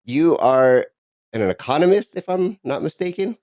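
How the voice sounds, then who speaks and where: A man's voice: a little high, expressive, normal speed, darkish tone, no echo. Upbeat male podcast host, dry sound. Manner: upbeat, encouraging, laughs often. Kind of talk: scripted intro, then casual interview.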